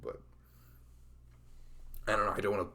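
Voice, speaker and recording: A man acting a dorky, sentimental young best friend with a crush in an intimate ASMR roleplay, speaking haltingly in a rambling, self-conscious way with a gravelly creak. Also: bright, forceful tone, natural voice. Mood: bashful, rambling. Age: young adult.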